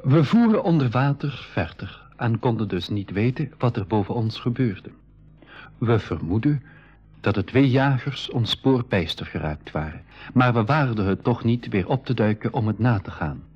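An actor playing a young adventurer who tells and takes part in a school radio play story. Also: male voice, mid-range voice, expressive, normal speed, darkish tone, husky. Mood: narrating, suspenseful. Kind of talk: radio play narration and dialogue. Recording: dull 1980s radio drama recording.

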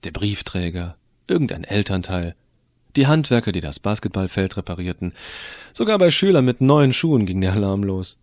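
A rebellious, tech-savvy schoolboy played by a man tells his own story in an audio drama. The voice sits on the low side and is recorded very dry, without room sound. He talks colloquially and wryly, explaining things straight to the listener. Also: big pitch swings, normal speed, a little mellow, no room sound. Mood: amused, mocking. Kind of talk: first-person audio drama narration.

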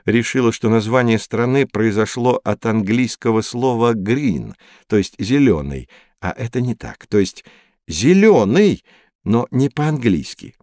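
A learned older male teacher figure played by a man with a very animated, mid-pitched voice. He explains and tells stories to children in an educational audio play. The recording is dry and close. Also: unhurried, no echo, natural voice. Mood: instructive. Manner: didactic, expressive, storytelling.

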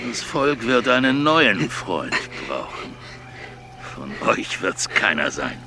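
An actor playing a surly male revolutionary who sneers slowly. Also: on the low side, sing-song, over music, exaggerated voice. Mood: sneering.

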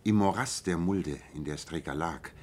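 An actor playing a shrewd, self-assured male detective in a radio play, explaining in a measured and precise way. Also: low voice, a little mellow, a little hiss or hum, close to an everyday voice. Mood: analytical, assured. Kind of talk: German radio play dialogue.

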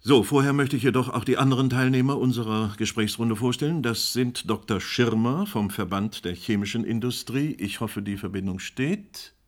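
A man's voice: medium pitch, normal speed, few pauses, lightly acted. An actor playing a formal, courteous but firm male moderator of a live crisis panel in a German radio drama. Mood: composed, firm.

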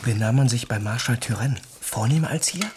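Young male actor as crown prince, mid pitch, sly, mocking, radio drama, hissy vintage recording. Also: fairly quick, a little mellow, lightly acted. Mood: slyly mocking. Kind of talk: German radio play acting.

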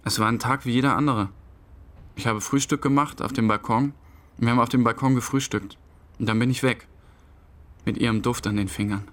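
Actor as troubled husband, medium-pitched voice. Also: male voice, steady tone, fairly quick, pauses often, a bit bright, lightly acted. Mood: subdued, worried. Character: distraught, brooding husband of a missing woman. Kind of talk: interview-like radio drama narration and dialogue.

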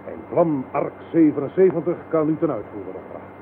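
An actor playing a megalomaniac older army general in a radio drama, making pompous speeches with dramatic pauses. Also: male voice, on the high side, sing-song, gravelly. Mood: triumphant, fierce. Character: megalomaniac older army general, coldly exultant about nuclear war. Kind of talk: Dutch radio drama acting. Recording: noisy, tinny narrow-band radio play recording.

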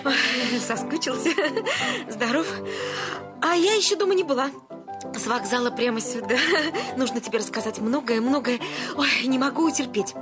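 An actress playing a giddy, chattering banker's wife in a radio play, effusive, breathless and scatterbrained. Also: female voice, a little high, moderate pace, long pauses, over music, lightly acted. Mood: excited greeting. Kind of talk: theatrical radio play of a classic comedy.

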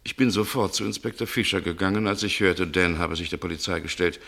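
A male actor playing a shrewd, cool man in a German radio mystery, speaking in a gravelly, deliberate, insinuating way. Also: low-pitched, steady tone, fairly bright tone, exaggerated voice. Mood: accusing, controlled. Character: shrewd, cool man coolly interrogating a murder suspect.

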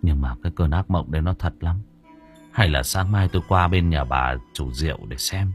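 A man's voice: deep voice, a little fast, soft background music, voice pushed down, lightly acted. A man voicing a grieving older father who pleads anxiously. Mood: brooding. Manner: anguished, pleading.

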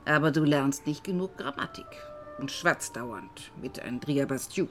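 An older village widow and adoptive mother in a radio drama. Her voice is lowish and moves expressively, dry and firm yet loving, with faint music behind. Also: expressive, a little slow. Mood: firm, tender. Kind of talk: naturalistic radio drama dialogue.

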